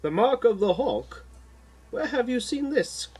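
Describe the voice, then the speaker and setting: A man's voice: very high-pitched, sing-song, measured pace, darkish tone, husky, voice pushed up, exaggerated voice. A man voicing an earnest, knowledgeable male guardian hero in an audiobook, explanatory with an urgent edge. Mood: startled.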